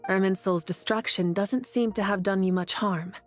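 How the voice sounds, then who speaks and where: A woman voicing a welcoming, composed and calmly wise female leader in a video game, with a reassuring manner. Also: medium pitch, normal speed, faint music behind, natural voice. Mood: warm, composed. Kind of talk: video game character acting.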